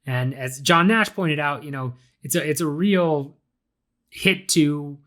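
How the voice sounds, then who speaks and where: Young male podcast host, slightly raised pitch, mildly raspy and under the weather, casual rambling delivery. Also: takes it slowly, a bit bright. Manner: casual, chatty, frequent fillers and restarts. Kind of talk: solo podcast commentary.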